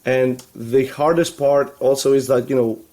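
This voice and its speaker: A man's voice: medium pitch, steady tone, moderate pace, warm tone. A man from Greece, a guest on a video call, who talks in loose rambling runs with many fillers and restarts and laughs easily. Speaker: guest, web agency founder. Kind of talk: casual video call interview. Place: home room full of toy shelves.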